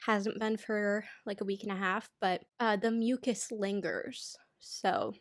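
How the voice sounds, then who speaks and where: A woman's voice: mid-range voice, expressive, a little slow, no echo, studio quality. Congested young woman, chatty and self-mocking. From United States. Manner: chatty, self-deprecating, lots of "like". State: congested, stuffy-nosed. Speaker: knitting YouTuber. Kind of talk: casual chatty pattern roundup.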